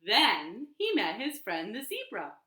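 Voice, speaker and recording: A woman hosting a children's storytime, reading aloud and talking in a deliberate, sing-song way, recorded at home in a living room. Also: medium pitch, wildly swooping pitch, bright, forceful tone. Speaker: library storytime host. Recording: roomy, echoey home recording.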